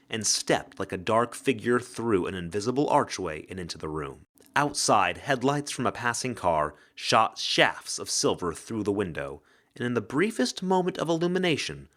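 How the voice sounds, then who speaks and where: A male narrator reads mystery fiction in a fairly low voice. His delivery is scripted and dramatic, with very lively intonation suited to an audiobook. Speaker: narrator, actor reading a novel excerpt.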